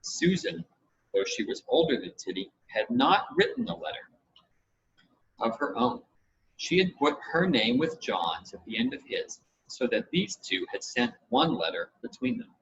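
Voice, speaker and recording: A man reading a children's adventure story aloud in expressive scripted narration. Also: medium pitch. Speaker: audiobook reader. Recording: dull, lo-fi with audible background noise.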